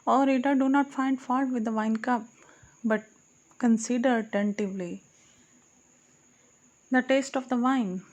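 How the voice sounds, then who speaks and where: Female audiobook narrator, higher-pitched, solemn recital of archaic verse with self-corrections. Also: steady tone, unhurried, pauses often, a little mellow, lo-fi sound. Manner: solemn recitation, correcting her own slips. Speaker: audiobook reader. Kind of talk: reading archaic poetry aloud.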